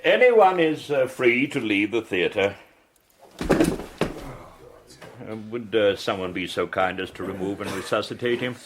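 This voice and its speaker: An actor playing a courteous, fussy anatomy professor in a radio drama, pedantic and full of polite hesitations. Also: male voice, lowish voice, expressive, takes it slowly, long pauses, background noise. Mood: brisk lecturing.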